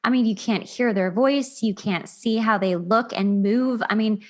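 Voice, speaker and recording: A woman's voice: fairly high pitch, a little slow. Effusive woman hosting a podcast. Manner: effusive, emphatic, informal. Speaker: podcast host. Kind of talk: upbeat conversational podcast interviewing.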